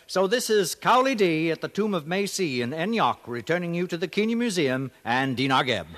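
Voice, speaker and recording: A man's voice: on the high side, lively intonation, normal speed, background noise, natural voice. A man playing an earnest broadcast reporter of the far future in a 1950s radio drama. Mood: formal, inquiring. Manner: formal, portentous.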